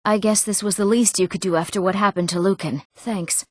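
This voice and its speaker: An actress voicing young townswomen and nobles in a fantasy video game, with earnest, clearly spoken scripted lines. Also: female voice, medium pitch, steady tone, brisk, no room sound, studio quality, natural voice. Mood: subdued, grieving. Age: young adult.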